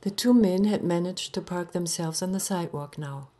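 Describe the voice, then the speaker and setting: Woman reading her short story, soft dark lowish voice, takes her time with pauses, expressive. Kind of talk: literary short story narration.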